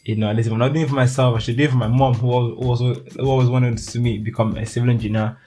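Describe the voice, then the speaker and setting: Casting: young Nigerian man, mid-pitched voice, chatty solo podcast host, faint music underneath. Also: fairly even intonation, very fast, dark tone. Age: young adult. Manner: chatty, laughs often, restarts words.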